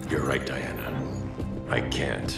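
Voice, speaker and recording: A man playing a stern, calculating masked vigilante in a video game, terse and grave, with a gravelly voice. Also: a bass voice, steady tone, slow pace, heavily acted. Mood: grave, resolute. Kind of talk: dramatic video game cutscene acting. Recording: distant, echoing voice under loud music.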